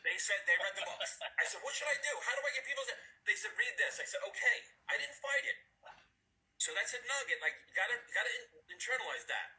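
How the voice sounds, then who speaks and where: A man's voice: very high-pitched, quick pace, poor audio. Casting: New York guy, ex-cop, telling his story with energy over a video call. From New York City, USA. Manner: animated storytelling, acts out quoted voices. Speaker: guest speaker, former police officer and firefighter. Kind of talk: motivational testimonial.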